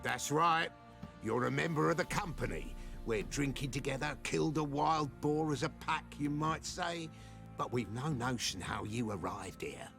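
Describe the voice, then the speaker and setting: A rowdy, probing huntsman from a game, gravelly and a touch high-pitched. His folksy delivery swings up and down. Clear music plays behind him. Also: lively intonation, normal speed, a bit bright. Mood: chummy. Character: rowdy, inquisitive male hunting companion. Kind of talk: dramatic game acting.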